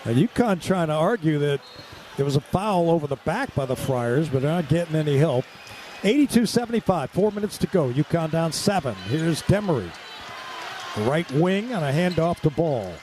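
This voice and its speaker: A man calling live radio basketball play-by-play in clipped, excitable running descriptions. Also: a little high, moderate pace. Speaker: play-by-play announcer. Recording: courtside with loud crowd and gym noise. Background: crowd and arena ambience.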